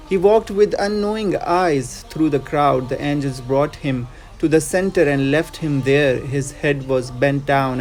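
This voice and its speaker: A man's voice: medium pitch, darkish tone. A man narrating a scripted moral story in an earnest, emphatic and dramatic way.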